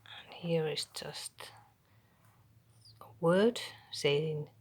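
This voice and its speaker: A Finnish woman with a Finnish accent, whose first language is Finnish, quietly showing a handmade journal page by page, half-whispering with pauses and ums. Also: low-pitched, slightly rough. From Finland. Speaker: craft channel host. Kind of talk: soft show-and-tell of a handmade journal.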